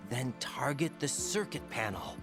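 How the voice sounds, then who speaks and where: An actor playing an earnest young special operative in a video game, eager and full of questions. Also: male voice, mid-range voice, expressive, measured pace, lightly acted. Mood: focused, eager. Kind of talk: video game cutscene acting. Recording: music under the voice. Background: music.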